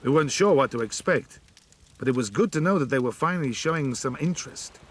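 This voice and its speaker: An actor playing a weary middle-aged resistance leader who also narrates, speaking with calm, dry understatement. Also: male voice, mid-range voice, fast, natural voice. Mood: narrating.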